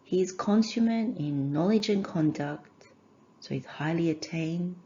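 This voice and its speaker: A woman teaching a guided meditation in measured, gentle phrases with short pauses. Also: lowish voice, lo-fi sound.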